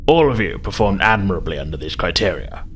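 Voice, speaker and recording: A man narrating a tabletop game as game master, improvising his descriptions in a halting, hesitant way. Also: sits low, sing-song, a little hiss or hum.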